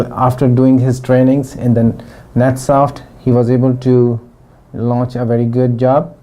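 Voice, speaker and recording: A middle-aged man from Pakistan giving a testimonial interview about his training, talking in a halting way with frequent restarts. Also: mid-range voice, mellow. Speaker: former student giving a testimonial.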